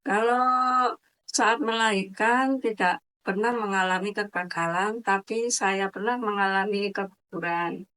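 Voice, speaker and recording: A woman's voice: mid-range voice, measured pace, bright, forceful tone, rough recording. A woman in her fifties giving short, plain answers in an interview. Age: 50s. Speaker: interviewed mother.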